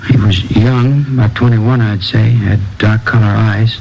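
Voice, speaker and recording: An American actor playing a shaken, plain-spoken grocery clerk witness in a radio crime drama, halting and earnest. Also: male voice, fairly low pitch, steady tone, gravelly, darkish tone, lo-fi sound. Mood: earnest recalling. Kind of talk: 1950s radio drama acting. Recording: noisy old radio recording.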